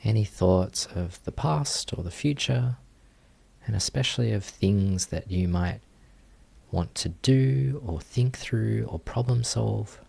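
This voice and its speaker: A man leading a guided meditation as a teacher, speaking in a gentle, unhurried manner with long pauses. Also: lowish voice, creaky, husky.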